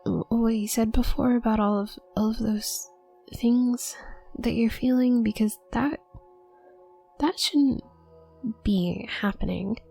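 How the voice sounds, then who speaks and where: A shy young witch student, played by a woman for an intimate audio roleplay, speaks slowly in a soft, mellow voice that often sinks toward a whisper. She stutters nervously and hesitates, anxious and apologetic, over background music. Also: fairly high pitch, creaky, slightly rough, heavily acted. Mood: hesitant, awkward.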